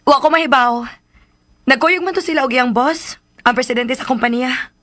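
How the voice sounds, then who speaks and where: A woman playing a gentle, dutiful young housemaid in a radio drama, patient and placating, with a Cebuano accent. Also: sits high, moderate pace. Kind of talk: Philippine radio drama acting.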